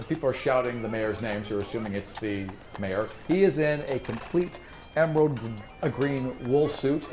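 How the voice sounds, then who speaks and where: A man narrating a tabletop game as game master, descriptive and conversational with dramatic storytelling. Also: mid-range voice.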